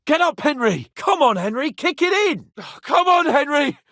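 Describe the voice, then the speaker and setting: A young medieval lord for a video game. He is imperious and quick-tempered, yet playful and teasing. His pitch swings widely in a very dramatic delivery, and the recording is dry and close. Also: sing-song, fairly bright tone, dry recording, heavily acted. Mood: urgent shouting. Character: haughty young medieval nobleman, playful, hot-tempered, fond of companion. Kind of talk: video game character acting.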